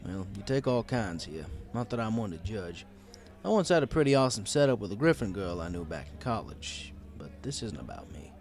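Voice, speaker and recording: A man's voice: fairly low pitch, big pitch swings, a little fast, few pauses, darkish tone, soft background music. A man narrating a scripted first-person story in a wry, conversational storytelling way. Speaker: audiobook narrator.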